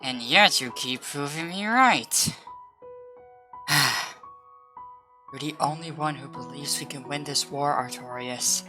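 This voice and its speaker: Lady doing a blunt loyal fighter gal, deep for a woman, firm n earnest, music underneath, roleplay drama. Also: takes it slowly, long pauses, sharp tone, heavily acted. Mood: weary, earnest. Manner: firm, scolding yet earnest. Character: blunt, loyal female comrade of a wounded knight. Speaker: voice actor.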